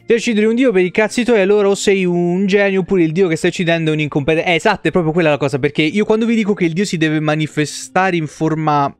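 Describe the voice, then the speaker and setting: A man's voice: high-pitched, fast talker, hardly any pauses, clear, resonant tone, soft background music. A young adult man from Italy chatting casually as a game streamer, rambling and swearing freely, on a close desk microphone in a home room. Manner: informal, rambling, profane; repeats words for emphasis. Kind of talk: casual livestream chat.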